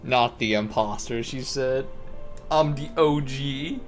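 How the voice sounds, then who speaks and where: A man's voice: medium pitch, slow. A man livestreaming a game with casual, offhand commentary. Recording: game music behind the voice. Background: video game music.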